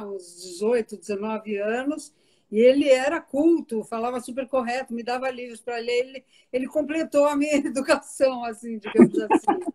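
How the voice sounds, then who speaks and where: An older woman, born in Italy, tells childhood stories in a loose, chatty way. Her voice sits a little high for a woman, and she laughs easily and lets sentences trail into repeats. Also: darkish tone. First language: Italian. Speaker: guest author. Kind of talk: relaxed conversational interview.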